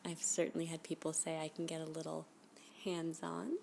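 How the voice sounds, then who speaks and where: A woman playing a flirty, obsessive stalker in an ASMR roleplay, breathy and often whispering, with a coy, smitten manner. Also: sits low, completely flat delivery, a little mellow, a little hiss or hum. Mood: flirty, reassuring. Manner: coy, slow, unhurried, with giggly asides.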